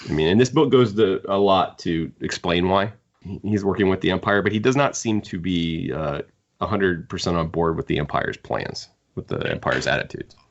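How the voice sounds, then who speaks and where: A man with a very low voice chats as a podcast panelist. He thinks aloud haltingly, doubling back on words. The audio is a bit dull. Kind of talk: casual discussion.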